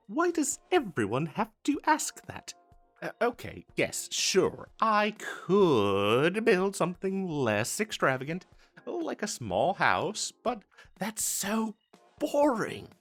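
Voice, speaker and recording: A man's voice: high voice, wildly swooping pitch, slow pace, fairly bright tone, soft background music, exaggerated voice. A man voicing an eager, boastful wizard salesman in a comedic fantasy audio roleplay, with theatrical emphasis and a stammering, hard-selling manner. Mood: exasperated. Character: eager, boastful male wizard salesman hawking magical wares. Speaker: street vendor character in an audio roleplay.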